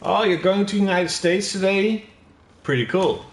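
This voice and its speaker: A man's voice: sits high, moderate pace, reverberant, slight background noise. A young Dutch man with a Dutch accent, casually commentating a game and chatting with viewers, swearing now and then. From Netherlands. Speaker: gaming streamer. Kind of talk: casual live commentary while playing.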